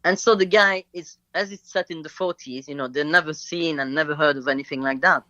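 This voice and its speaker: A man from Belgium, a native French speaker with a French accent, telling a story as a guest over a video call, halting and full of fillers. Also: on the high side, pushed and bright, no echo. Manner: hesitant, halting with many fillers. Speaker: guest actor. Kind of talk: casual interview storytelling. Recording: lo-fi call line.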